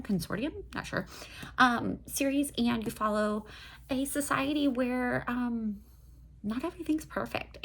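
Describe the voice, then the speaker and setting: A woman's voice: medium pitch, creaky, slight background noise. A woman chatting casually about books in an enthusiastic, digressive way, like a host reviewing her reading. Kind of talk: casual book review chat.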